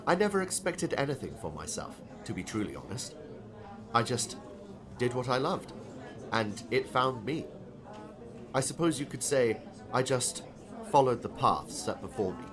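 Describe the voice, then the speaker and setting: An actor playing a kindly, encouraging novelist in an audiobook drama, speaking in a measured, reflective way. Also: male voice, medium pitch, big pitch swings, crowd noise, natural voice. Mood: thoughtful, encouraging. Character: kindly male novelist, thoughtful and gently encouraging.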